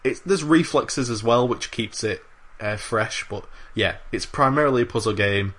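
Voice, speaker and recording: Keen podcast host with a bright voice. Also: male voice, medium pitch, normal speed, breathy edge. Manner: enthusiastic, swears freely, many fillers. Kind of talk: casual chat.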